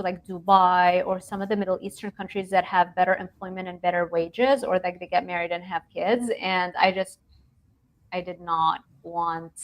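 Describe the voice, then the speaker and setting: Woman from lebanon, thirties, animated casual talk, laughs often, restarts sentences, video-call interview. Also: mid-range voice. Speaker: guest nutrition researcher.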